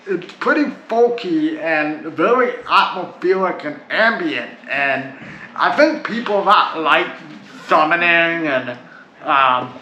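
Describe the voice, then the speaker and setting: A man's voice: a little high, sharp tone. A Canadian man reviewing albums in a casual, rambling way, full of "like" and "uh". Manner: rambling, chatty, restarts with "like" and "uh". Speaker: music reviewer. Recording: dull lo-fi sound in an echoey room with faint noise.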